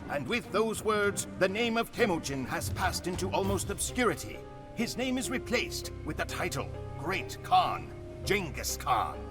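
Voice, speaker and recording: A solemn male Mongol chronicler recounts a campaign in a slightly high voice that rises and falls widely. Game cutscene narration with music clearly behind. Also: big pitch swings. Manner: grave, measured storytelling.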